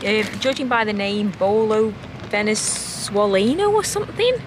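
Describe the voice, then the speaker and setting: A woman's voice: medium pitch, very animated, normal speed. A woman, a travel vlogger chatting casually outdoors. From Britain. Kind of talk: casual vlog chat. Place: outdoors in a city park. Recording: noisy outdoor location sound.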